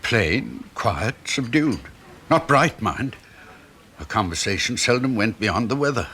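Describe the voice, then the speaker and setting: A husky British man's voice with very lively swings of pitch plays an anxious, henpecked older husband. He hesitates and pleads in flustered fashion, in the style of a seventies radio play. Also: a little slow, heavily acted. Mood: reflective, hesitant. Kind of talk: 1970s radio drama acting.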